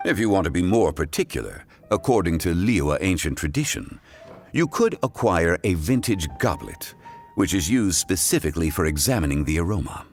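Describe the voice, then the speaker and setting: An actor playing a calm, erudite, old-fashioned gentleman in a video game, speaking in a formal, deliberate way. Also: sits low. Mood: patient, instructive. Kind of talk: scripted video game voice acting. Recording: game audio with music behind.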